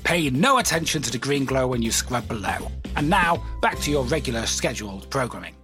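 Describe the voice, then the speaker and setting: Man doing a showy, swooping wasteland radio pitchman. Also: sing-song, brisk, bright, forceful tone. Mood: brisk hard sell. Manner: theatrical, grandiose salesman delivery. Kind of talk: parody radio advertisement. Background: music.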